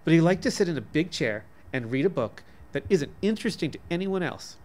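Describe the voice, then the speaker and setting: A man reading the part of an earnest little girl who tells a pointed story in a demure, deliberate way. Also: on the high side, expressive, darkish tone, natural voice.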